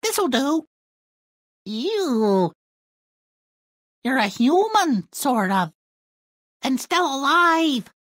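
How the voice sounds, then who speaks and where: A whiny young princess from a fairy-tale game. Her extremely high voice rises and falls melodramatically, spoiled and dim, drawing out her petulance at a slow pace. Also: sing-song, a bit bright, no echo, clean studio sound, full character voice. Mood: dim, sniffy. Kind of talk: video game fairy-tale character acting.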